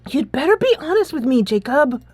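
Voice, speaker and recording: A woman's voice: sits high, very animated, fairly quick, dry recording, voice pushed up. A woman voicing a sweet, anxious teenage schoolgirl in a game, hesitant, polite and apologetic. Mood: nervously stern. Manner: hesitant, polite, full of ums. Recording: soft music behind the voice.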